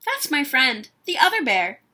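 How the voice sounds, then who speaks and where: Woman voicing a bright, friendly storybook bear. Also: high-pitched, big pitch swings, a little slow, full character voice. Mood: friendly, pleased. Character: friendly, thoughtful bear in a picture book.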